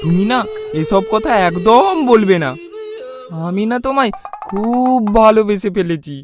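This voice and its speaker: Someone doing a lovestruck young bengali village guy, cartoon comedy, shy and stammery, loud music behind. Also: medium pitch, very animated, unhurried.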